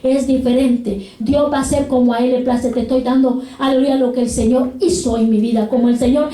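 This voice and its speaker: Fervent high-voiced woman preaching in an echoey hall. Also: fairly even intonation, brisk, warm tone, distant mic. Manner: fervent, repeats hallelujah and glory to God. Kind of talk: sermon.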